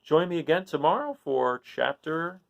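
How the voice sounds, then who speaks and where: A male storyteller reading aloud to an audience as series host. His voice is fairly high and very expressive in pitch, with some creak at the edges. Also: moderate pace, muffled recording. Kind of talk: storybook narration with informal asides.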